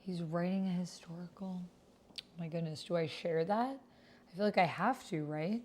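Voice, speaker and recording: A woman's voice: on the low side, normal speed, darkish tone. A woman hosting a casual livestream, chatting with viewers and half to herself as she reads out typed text. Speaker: livestream host and role-player. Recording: clearly noisy, keyboard clatter. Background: computer keyboard typing.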